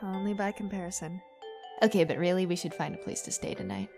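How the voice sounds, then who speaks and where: A woman's voice: fairly low pitch, quick pace, music in the background, close to an everyday voice. A woman voicing a sarcastic, impatient young girl in a cartoon parody dub. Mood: exasperated.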